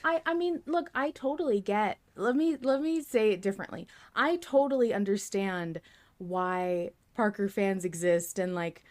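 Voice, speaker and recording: A woman's voice: mid-range voice, normal speed. A woman hosting a podcast in casual fan discussion, thinking aloud and hedging as she goes. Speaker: podcast host.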